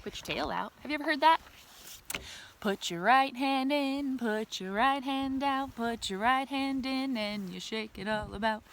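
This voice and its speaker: A woman's voice: high-pitched, a little slow, fairly bright tone. A woman reading a children's picture book aloud outdoors like a teacher, adding chatty asides and questions for young listeners. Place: outdoors in a communal space by a river. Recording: noisy outdoor recording.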